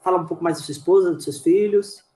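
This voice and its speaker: A man's voice: high-pitched, quick pace, mellow. A man with a Brazilian accent hosting a podcast casually, chatty and inclined to repeat himself. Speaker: podcast host. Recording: roomy, echoing sound.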